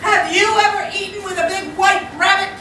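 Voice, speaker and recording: Echoey, high-pitched flustered society mother played by an actress. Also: steady tone, brisk. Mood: fed up. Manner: fretful, emphatic, haughty complaining. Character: flustered, indignant middle-aged society mother, exasperated by her brother. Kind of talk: comic stage play performed live. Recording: echoey, very noisy hall from far off.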